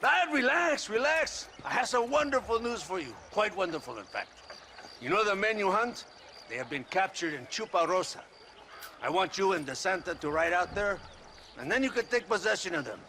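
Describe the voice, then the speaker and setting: An actor playing a smug, lecherous Mexican army officer in a video game, flamboyant and mock-courteous with a sneering drawl and Mexican accent. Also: male voice, high voice, expressive, normal speed, pushed and bright, slight background noise, full character voice. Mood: smug, expansive. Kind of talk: theatrical video game acting.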